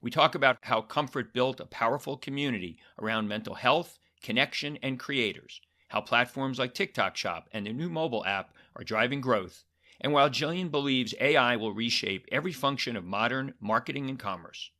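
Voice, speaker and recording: A man's voice: mid-range voice, brisk, bright, forceful tone. A man hosting a podcast, reading an upbeat intro and then chatting with a guest, sometimes hesitating with fillers. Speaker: podcast host. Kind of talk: scripted intro then casual interview.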